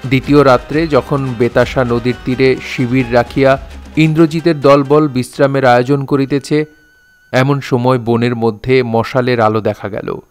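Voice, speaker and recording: Formal male storyteller, mid-pitched, over music. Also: steady tone, moderate pace. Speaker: narrator. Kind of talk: literary Bengali audio drama narration.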